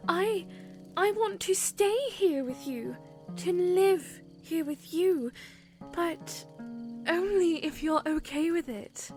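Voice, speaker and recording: Woman playing gentle young princess, audio drama, high pitch, unhurried pace, earnest, modest, music behind. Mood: heartfelt, tender. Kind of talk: fan audio drama acting.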